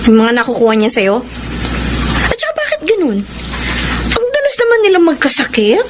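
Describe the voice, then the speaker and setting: A woman's voice: sits high, wildly swooping pitch, fairly quick. A woman playing a resentful, suspicious young wife who nags, in a radio drama. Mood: suspicious, complaining. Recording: noisy radio drama sound, ambient effects.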